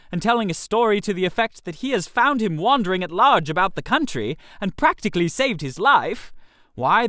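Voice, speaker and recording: A man's voice: sits high, a bit bright, no room sound, clean studio sound. A man narrating a comic short story in character as a chatty upper-class chap, in a theatrical manner. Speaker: narrator reading as a first-person character.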